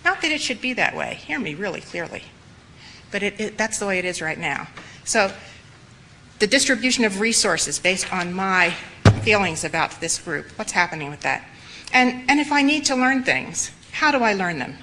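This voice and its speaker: A slightly hoarse woman giving an earnest, reflective spoken lecture to medical students in a lecture room. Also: mid-range voice, sing-song, moderate pace, pushed and bright, slight background noise, low-quality recording. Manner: earnest, reflective, with emphatic repetition.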